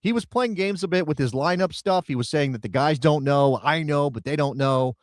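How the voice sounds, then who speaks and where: Animated man in dry sports talk. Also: fairly high pitch, brisk, no echo. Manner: animated, emphatic, repeats words when excited. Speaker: co-host and radio broadcaster.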